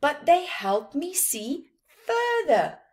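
A woman puts on a little female storybook mouse with a raised, high voice. She is curious and kind, speaking with earnest emphasis. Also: very animated, slow pace, a little mellow, exaggerated voice. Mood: proud.

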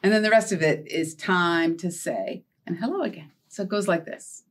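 A woman's voice: lowish voice, normal speed, breathy edge. A middle-aged American woman leading a children's storytime, speaking simply and encouragingly to young listeners as she teaches and tells stories. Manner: animated, encouraging, addresses young children with questions. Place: indoor storytime set with backdrop and felt board.

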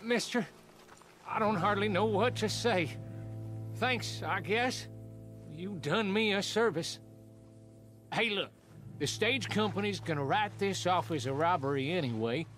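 High-voiced, grateful stagecoach driver. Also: male voice, unhurried, long pauses, fairly bright tone, faint music behind. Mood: shaken gratitude. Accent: American. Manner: folksy, plain-spoken. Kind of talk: video game western acting.

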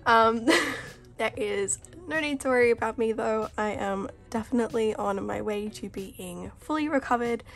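A young woman, chatting casually as a vlogger while she sorts her binders, her voice rough from a cough, often giggling. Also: fairly high pitch, normal speed. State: sounds sick, coughing voice. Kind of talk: casual chat. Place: indoors at a desk. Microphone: overhead camera, hands only.

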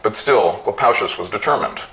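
A middle-aged man reading a story aloud in a theatrical, deliberate manner. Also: on the low side, lively intonation, a bit bright, a little hiss or hum, low-quality recording. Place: small room with bookshelves.

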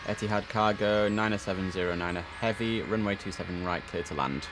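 Low-voiced man calling air traffic. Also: a little fast, loud music behind the voice. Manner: crisp controller calls mixed with chatty asides. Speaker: streamer playing air traffic controller. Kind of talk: gameplay commentary with radio phraseology. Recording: noisy game stream audio.

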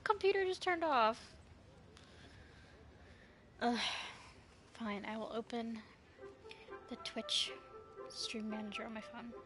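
Woman, game livestreamer, slightly high voice, casual sassy slang, clear game music behind. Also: animated, slow pace, pauses often. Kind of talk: casual live commentary on a game. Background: video game music.

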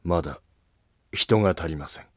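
A man's voice: sits low, moderate pace, no echo, clean studio sound, pitched below the performer's own voice, close to an everyday voice. A man playing a loyal, earnest court official with humble, formal speech in a historical drama. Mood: earnest, cautious. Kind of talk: scripted historical drama dialogue.

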